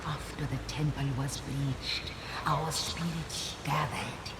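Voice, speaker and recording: A man voicing a solemn ancient ancestral spirit in a video game, grave and measured in delivery. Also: on the high side, fairly even intonation, very noisy. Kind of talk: dramatic video game voice acting. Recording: echoing voice in loud ghostly ambience.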